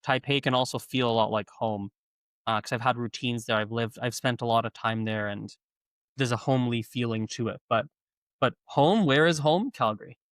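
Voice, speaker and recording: A man's voice: medium pitch, moderate pace, a bit bright, smooth, no echo. A man speaking as a guest in a podcast interview, in an easygoing, loose conversational manner. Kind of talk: casual interview chat.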